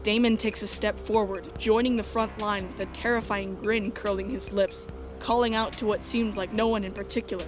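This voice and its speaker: A woman's voice: mid-range voice, fairly even intonation, moderate pace. A woman reading scripted first-person story narration as the narrator of a dramatic fan dub. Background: music.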